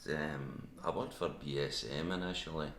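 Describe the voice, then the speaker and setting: A man in his seventies with a Scottish accent, telling his story in a casual interview, halting with many restarts. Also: extremely low pitch, fairly bright tone. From Scotland. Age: 70s. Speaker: interview guest. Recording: echoey room with faint background hum.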